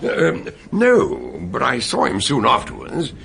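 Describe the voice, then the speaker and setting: A genial detective doctor who hums and hesitates. His gravelly voice swoops widely in pitch. The radio drama recording carries clear background noise. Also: huge pitch swings, slow, exaggerated voice. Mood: hesitant. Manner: hesitant, with many ums and uhs. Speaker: detective and narrator. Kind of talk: radio drama acting and narration.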